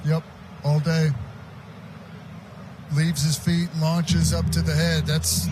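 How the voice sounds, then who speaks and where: A man's voice: fairly high pitch, completely flat delivery, slow pace, noisy background. An American man giving casual, enthusiastic color analysis of football plays on a live radio broadcast. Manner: casual, enthusiastic, chatty breakdowns. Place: stadium radio booth.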